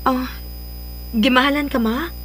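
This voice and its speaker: An actress playing a pampered young daughter, sweet but willful, in a radio drama, with a Filipino accent. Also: female voice, high voice, steady tone, measured pace, a bit bright, faint music behind. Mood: sweet, excited. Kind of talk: Philippine radio drama acting.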